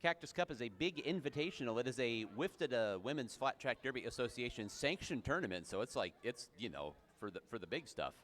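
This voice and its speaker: A man in his forties doing live play-by-play for roller derby, joking between jams and getting excited during the action, his voice low and tired. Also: brisk, few pauses. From United States. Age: 40s. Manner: excitable, jokey, rising to shouts during play. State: tired low voice after a show. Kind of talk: live sports commentary.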